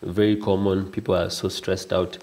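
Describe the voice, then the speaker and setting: A Kenyan man with a very low voice explains throat conditions in a patient, measured way. His delivery stays even and flat, with little rise or fall, and Swahili phrases slip in now and then. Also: crowd noise. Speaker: ENT doctor. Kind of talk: explaining on a TV health interview.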